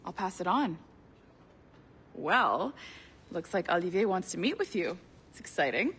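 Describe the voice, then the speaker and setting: An actress playing a perky, friendly office manager in a video game, chirpy and helpful with a newcomer. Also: female voice, fairly low pitch, very animated, a little slow, fairly bright tone, slight background noise, exaggerated voice. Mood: friendly, upbeat.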